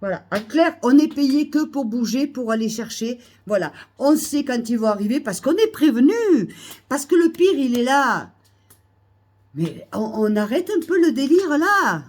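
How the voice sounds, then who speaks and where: A woman with a cold, coughing now and then, ranting indignantly to her followers, repeating herself and rising to loud outbursts. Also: sits high, very animated, normal speed. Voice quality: hesitant restarts and fillers. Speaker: vlogger. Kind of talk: ranting monologue to camera. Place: Almeria, Spain.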